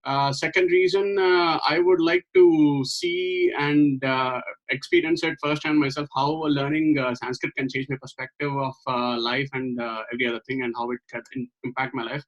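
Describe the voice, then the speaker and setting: A young man from Hyderabad introduces himself from his bedroom over a laptop webcam. He is earnest and hesitant, stopping often to fill pauses. The call audio sounds muffled and lo-fi. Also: medium pitch, steady tone. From Hyderabad, India. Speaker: student. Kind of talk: self-introduction on video call. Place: bedroom at home. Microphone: laptop webcam on video call.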